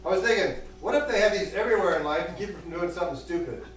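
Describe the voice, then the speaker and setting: A man with a high voice works through a deadpan stand-up set, mocking himself between one-liners. He is recorded from across a small cafe, so his voice sounds distant and is wrapped in heavy room echo, with audience laughter behind him. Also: racing. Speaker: stand-up comedian. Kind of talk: open-mic stand-up set.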